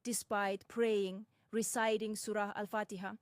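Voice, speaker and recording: A young woman from Russia, narrating her own experience in a measured, deliberate way with frank asides. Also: mid-range voice, flat delivery, no room sound. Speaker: personal commentator. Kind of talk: first-person reflective narration.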